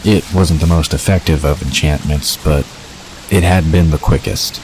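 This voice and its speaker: Male narrator for fantasy audio drama, extremely low voice, scripted prose reading, faint watery ambience behind. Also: fairly even intonation, normal speed, a little mellow, a little hiss or hum, rough recording.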